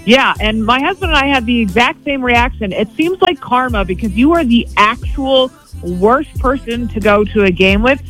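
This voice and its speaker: A woman's voice: a little high, lively intonation, fairly bright tone, soft background music, low-quality recording. A young woman acting as the show's sports correspondent, teasing the host and recapping games with lots of "um"s. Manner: teasing, quick recaps with many fillers. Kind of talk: casual sports chat, teasing.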